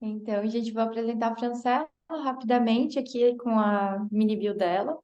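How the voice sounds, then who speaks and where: A woman's voice: fairly high pitch, steady tone, moderate pace, darkish tone, lo-fi sound. A woman with a Brazilian accent hosting an interview, introducing a guest and asking questions in an informal way. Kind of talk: reading a bio, then casual interview questions.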